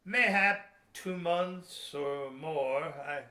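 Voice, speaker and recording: A man acting a gruff, crafty sea captain in a historical audio play, goading people in archaic, emphatic seafaring speech. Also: fairly high pitch, drawn-out words, sharp tone, full character voice. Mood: feigned sympathy. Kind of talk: amateur audio theater historical drama acting. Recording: echoey room.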